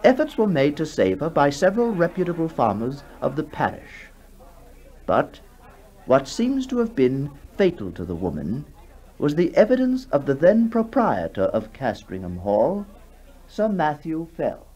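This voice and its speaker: A man narrating a ghost story for 1960s radio in a measured storytelling manner with deliberate pauses. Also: fairly high pitch, wildly swooping pitch, darkish tone, muffled recording. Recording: 1960s radio broadcast with music behind.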